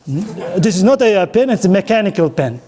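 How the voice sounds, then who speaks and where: A man with an Uzbek accent speaking halting, choppy English as he runs an informal interactive talk with an audience. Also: high-pitched, expressive, warm tone. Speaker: conference speaker running an audience networking game.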